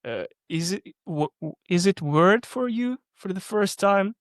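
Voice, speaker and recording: Male guest, upbeat and stumbling, smooth voice a bit high. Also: a little slow, no echo, studio quality. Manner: animated, stumbling, asks fellow guests questions. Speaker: actor and interview guest. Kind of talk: casual interview chat.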